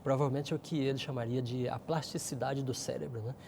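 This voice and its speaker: A man's voice: medium pitch, fairly even intonation, fast, creaky, a little mellow, slight background noise. A man with a Brazilian accent giving explanatory commentary as a guest in a discussion. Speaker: guest panelist.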